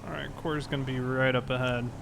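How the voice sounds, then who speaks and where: Male gamer playing a patrol officer, casual delivery, mid pitch, clear vehicle noise behind him. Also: flat delivery, quick pace. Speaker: player roleplaying a police officer in an online game. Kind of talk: casual in-game roleplay chat and radio calls.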